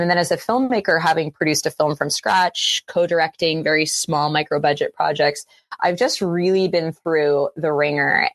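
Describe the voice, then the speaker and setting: Low-voiced Canadian woman, earnest and thoughtful. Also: no echo. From Western Canada. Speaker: guest, actor and filmmaker. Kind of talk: reflective interview talk.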